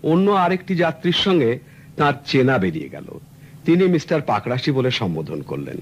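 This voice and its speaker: An earnest, chatty client. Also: male voice, mid-range voice, big pitch swings, normal speed. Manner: courteous, earnest, explanatory storytelling. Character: polite middle-aged male client, fussy and earnest. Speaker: client telling his story. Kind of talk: 1970s radio drama acting. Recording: old radio recording with faint hiss.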